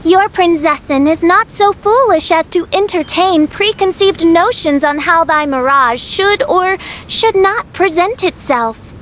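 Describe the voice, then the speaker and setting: A woman's voice: extremely high pitch, measured pace, hardly any pauses, a bit bright, a little hiss or hum. A woman playing a theatrical, haughty self-styled princess in an anime-style game, speaking in grand archaic phrases. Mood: grandiose. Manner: pompous archaic diction.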